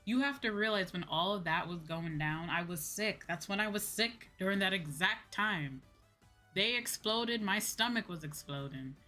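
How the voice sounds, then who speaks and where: A woman's voice: mid-range voice, lively intonation, normal speed, pushed and bright, faint music behind. A woman livestreamer chatting casually, in an informal, slangy, ranting way that acts out her own reactions. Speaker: VTuber livestream host.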